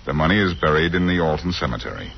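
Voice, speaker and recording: An actor playing a wry, cool-headed amateur detective in a 1940s radio drama, on a muffled, noisy old recording. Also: male voice, sits low, quick pace, natural voice. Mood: wry, confident. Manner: wry, sardonic.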